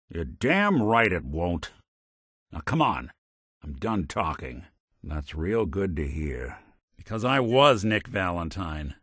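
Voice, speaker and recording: A man with an American accent playing a gruff, sardonic synthetic detective in a video game, with wry, hard-boiled, deliberate phrasing. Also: mid-range voice, fairly bright tone, dry recording, studio quality. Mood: defiant, irritated, then warm, reflective. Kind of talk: film-noir detective game voice acting.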